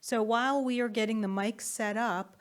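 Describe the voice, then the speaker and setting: A woman's voice: a little high, fairly even intonation, normal speed. A woman moderating a conference panel, speaking semi-spontaneously to speakers and delegates.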